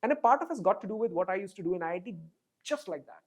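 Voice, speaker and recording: A man with an Indian English accent, a podcast guest telling stories in a casual interview, fluent and animated, often adding "right?" and "you know". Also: high voice, rapid-fire. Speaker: podcast guest, design executive.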